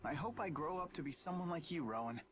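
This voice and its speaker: A man's voice: fairly high pitch, lively intonation, fast talker, noisy background, natural voice. An actor playing an earnest, curious young man in a video game. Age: young adult.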